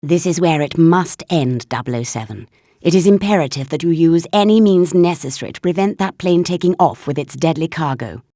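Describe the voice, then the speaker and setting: An older British spy chief in a video game. Her voice is low and authoritative, recorded very dry. She gives orders in a formal, measured, stern manner. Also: expressive, dark tone, dry recording. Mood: grave, commanding. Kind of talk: scripted video game mission briefing.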